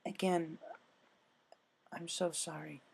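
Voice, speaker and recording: A man voicing a solemn, apologetic female nurse pony with a gentle, hesitant, grave delivery. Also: a little high, little pitch movement, slow pace, creaky, breathy edge, pitched above the performer's own voice, full character voice. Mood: gentle, reassuring.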